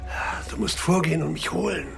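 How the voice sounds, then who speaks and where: An actor playing an ageing, dogged police detective in a radio crime drama, sometimes hesitant, always insistent. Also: male voice, on the high side, fairly quick, vocal fry. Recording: roomy sound with music behind.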